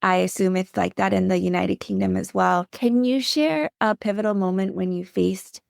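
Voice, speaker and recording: A woman hosts an interview podcast, asking measured, polite questions. Her middle-pitched voice has a gravelly edge, and the recording is close and dry. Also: steady tone, a little mellow, no room sound. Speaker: podcast host.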